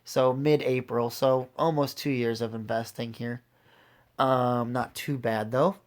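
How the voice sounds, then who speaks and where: A man giving a casual, unscripted video walkthrough, his voice raspy as it recovers from being lost, speaking informally straight to viewers. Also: mid-range voice, fairly even intonation, a little slow. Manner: informal, addresses viewers directly. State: recovering from a lost voice, occasional throat clearing. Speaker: host of an investing video series.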